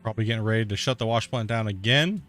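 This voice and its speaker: A man's voice: fairly low pitch, fast talker, fairly bright tone, soft background music. A man streaming a mining game, chatting loosely and rambling, peppering his talk with "like" and "dude", and itchy from a sunburn. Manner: rambling, laid-back, heavy use of "like" and "dude". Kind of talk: casual gameplay commentary.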